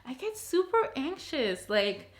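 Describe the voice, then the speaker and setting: A woman's voice: sits high, sing-song, slow. Chatty Jamaican woman in her thirties. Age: 30s. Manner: chatty and playful, laughs often, sassy asides. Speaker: YouTuber. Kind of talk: casual Q&A vlog. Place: sitting alone in a room at home. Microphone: camera mic, talking straight to camera.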